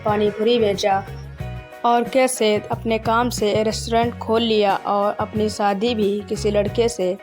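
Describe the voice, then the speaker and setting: A woman presenter gives an informal story recap to viewers. Her delivery is even and flat in pitch, with music clearly playing behind her. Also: a little slow.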